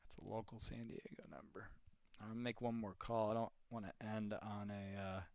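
A man making live calls on behalf of a real estate group, talking casually and haltingly. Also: lowish voice, level tone, darkish tone, no echo. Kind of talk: live cold call, casual phone chat.

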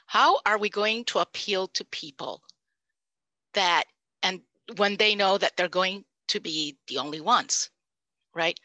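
A Puerto Rican woman in her fifties, a native Spanish speaker, giving an animated, emphatic panel talk over a headset microphone on a video call. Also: mid-range voice, measured pace, no echo. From Puerto Rico. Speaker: panelist, language professor. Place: home room, indoors. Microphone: headset mic.

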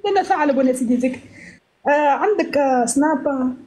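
A young woman with a high voice talks quickly into a phone held at arm's length, teasing her guests and hamming up mock outrage. A faint hiss sits under her voice in a small indoor room. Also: expressive, a little mellow. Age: 20s. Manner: playful, mock-pious teasing, switching dialects. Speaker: live-stream host. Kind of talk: teasing live-stream chat.

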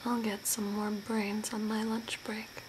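Slow whispering zombie makeup artist played by a woman. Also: medium pitch, level tone, close to an everyday voice. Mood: soft, curious. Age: young adult. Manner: whispering, slow, unhurried with long pauses. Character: soft-spoken zombie woman makeup artist, attentive, macabre. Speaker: ASMR performer. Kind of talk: whispered ASMR role play. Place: small curtained room indoors. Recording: noticeable background hiss and ambience.

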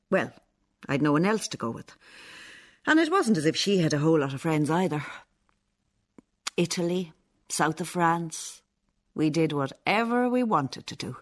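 An actress with an Irish accent playing a stern, bitter, defensive older nun in a radio play, sounding accusing and sanctimonious. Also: female voice, sits low, exaggerated voice. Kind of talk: radio play acting, monologue and dialogue.

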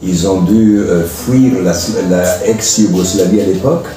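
An older man from Argentina, a priest being interviewed, who speaks calmly and earnestly and often hesitates and repeats words. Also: sits low, a little slow. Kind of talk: relaxed interview conversation. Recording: echoey, from a distance, with music behind.

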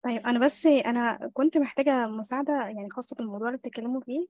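A woman's voice: fairly high pitch, very fast, a little mellow. A young woman with an Egyptian Arabic accent, an SEO specialist asking for help, rambling with many fillers.

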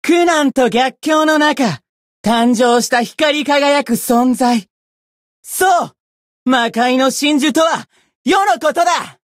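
A woman voicing a cocky, bratty young demon who boasts pompously in rough slang, in a Japanese game character style. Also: high-pitched, takes it slowly, no room sound, clean studio sound. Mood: boastful, gleeful.

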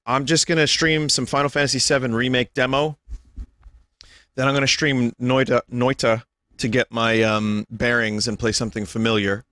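A young man, a little hoarse and tired, chatting casually and rambling to his stream viewers from home. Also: mid-range voice, fairly bright tone, dry recording, studio quality. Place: home room.

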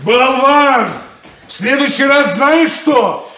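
An actor playing a stern, blunt, domineering older brother in a 1970s Soviet radio play. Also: male voice, very high voice, bright, forceful tone, echoey room, a little hiss or hum, full character voice. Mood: angry. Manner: domineering, deliberate, lecturing.

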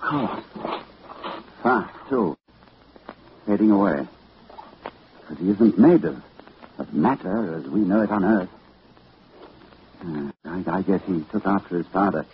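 An actor playing a haunted, horrified male scholar in 1940s radio style, with a dramatic, halting delivery. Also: sits low, animated, dull audio. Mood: grave, haunted. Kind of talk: 1940s radio horror acting. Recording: scratchy 1940s radio recording with music.

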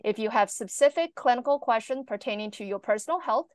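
A woman's voice: on the high side, animated, fast talker, darkish tone, no echo, clean studio sound. A woman moderating a medical webinar, reading speaker introductions and housekeeping notes in a formal way over a video call. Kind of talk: read introduction.

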